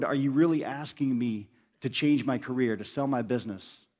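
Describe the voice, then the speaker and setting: An earnest man preaching from the pulpit, leaning on repetition as he urges his listeners on. His voice sits at an ordinary middle pitch, steady and sincere. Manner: earnest, urging, repeats phrases for emphasis. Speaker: pastor. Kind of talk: sermon.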